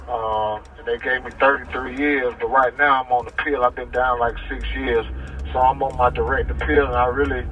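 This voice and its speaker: A man's voice: medium pitch, level tone, normal speed. A man being interviewed over a prison phone call, talking casually in informal street slang and often checking that he is followed. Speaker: interview guest calling from prison. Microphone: telephone. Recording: thin prison phone line, noisy, distant.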